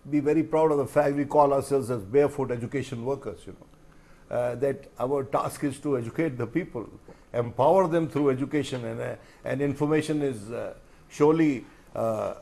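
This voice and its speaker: A middle-aged man with an Indian accent speaking as a journalist on a discussion panel, deliberate and emphatic, often repeating phrases. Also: mid-range voice, darkish tone.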